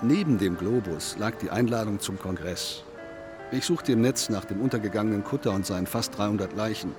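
An actor playing a laconic undertaker in a German radio drama, speaking in a dry, detached, deliberate manner with morbid musing. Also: male voice, on the low side, close to an everyday voice.

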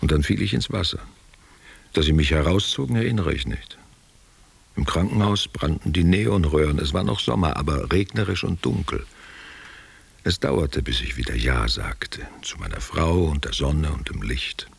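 Very deep, gravelly old stage actor. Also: male voice, lively intonation, full character voice. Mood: melancholy, musing. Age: older. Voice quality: gravelly, husky. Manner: musing, halting, poetic. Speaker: actor in a radio play. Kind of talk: literary radio play monologue, intimate and theatrical.

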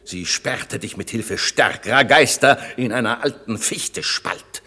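An actor playing a stern, commanding magician master in a classic German radio drama, speaking verse in a solemn, imperious, deliberate manner. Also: male voice, mid-range voice, sharp tone. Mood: stern, reproachful.

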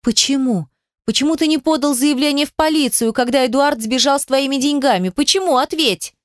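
A woman's voice: fairly high pitch, sing-song, moderate pace, dry recording, studio quality. A woman voicing an embittered, hysterical young mother in an audiobook drama, with breathless, tearful outbursts. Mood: angry, demanding.

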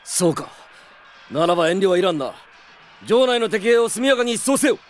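An actor playing a stern, proud duke and commander in an anime-style radio drama, with commanding aristocratic speech. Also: male voice, high-pitched, animated, moderate pace.

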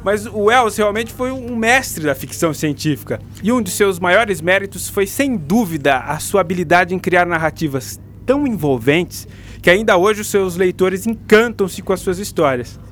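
An actor playing a patient, enthusiastic school teacher with a Brazilian accent, lecturing in a radio drama. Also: male voice, high-pitched, animated, normal speed, a bit bright, a little hiss or hum. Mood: explaining. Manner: didactic, explanatory.

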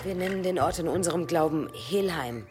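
A woman's voice: lowish voice, fairly quick, lightly acted. A woman in a radio play acting a sceptical blacksmith of olden times, dry and doubtful. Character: sceptical female smith of old pagan times. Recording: music under the voice.

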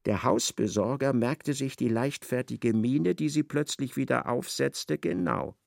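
A man narrating a literary radio play in a measured, scripted manner. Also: medium pitch, creaky, darkish tone, dry recording, studio quality. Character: measured male narrator of a satirical novel.

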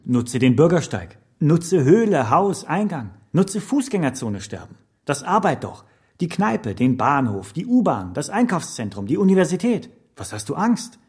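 Casting: pushy trainer-salesman, husky and highly animated, sloganeering radio-drama monologue. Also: normal speed. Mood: exhorting. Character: pushy male motivational trainer pitching begging as business.

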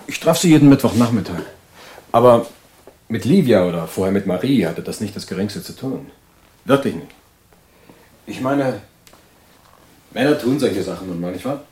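An actor playing an evasive, self-justifying middle-aged husband in an asylum, speaking haltingly in an audio drama. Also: male voice, on the low side, expressive, darkish tone, natural voice. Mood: defensive. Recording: roomy, echoing interior.

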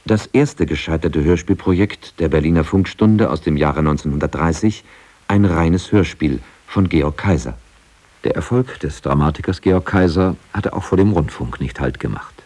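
A man narrates a scripted radio documentary in a low voice with a husky grain. His delivery is measured and factual. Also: a little mellow.

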